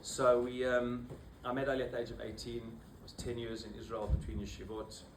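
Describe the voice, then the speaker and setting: Male rabbi, 50s, South African accent, tired and halting delivery, distant mic with background noise. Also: medium pitch, completely flat delivery, echoey room. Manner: halting, many fillers and restarts. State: low-energy, weary. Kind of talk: informal talk to a small group.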